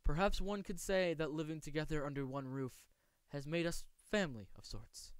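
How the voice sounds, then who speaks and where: A man voicing a polite, aloof young swordsman from a manga, with formal, measured, precise speech. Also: a little high, clear, resonant tone, no room sound, natural voice. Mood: cool, composed. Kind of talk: amateur voice acting showcase of manga lines.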